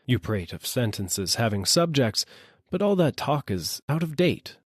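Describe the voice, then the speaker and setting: A man's voice: medium pitch, big pitch swings, a little slow, dry recording, pitched above the performer's own voice. Man playing logical male philosopher, storybook debate, declamatory, formal, argumentative, dry recording. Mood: triumphant, emphatic.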